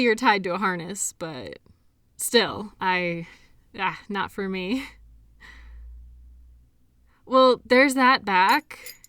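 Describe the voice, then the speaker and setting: A woman's voice: mid-range voice, sharp tone, no room sound, clean studio sound. A woman playing a shy, awkward, kindly medical worker in an audio roleplay, talking in a hesitant, rambling, apologetic way. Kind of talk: audio roleplay acting, addressing the listener.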